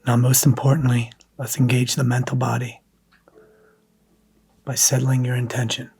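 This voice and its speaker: A man guiding a scripted meditation in a soft, hushed, breathy manner, leaving long pauses between phrases. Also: medium pitch, level tone. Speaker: meditation guide.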